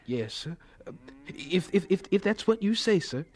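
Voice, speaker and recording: An actor playing a humble, earnest man in an audio drama, gentle and deferential in manner. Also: high voice, sing-song, normal speed. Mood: eager, deferential. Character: humble, earnest scientist recalling his hopeful, polite younger self.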